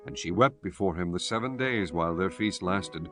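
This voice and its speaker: A man's voice: low-pitched, moderate pace, a bit bright. A man reading scripture as a scripted narrator, solemn and formal. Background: prominent music.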